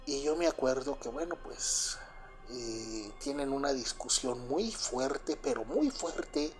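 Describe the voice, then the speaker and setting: A Cuban-born man with a Mexican Spanish accent who was raised in Mexico, telling a first-person story in a halting, confiding way full of fillers and restarts. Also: mid-range voice, expressive, soft background music. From Cuba, raised in Mexico. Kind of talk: first-person spoken recollection.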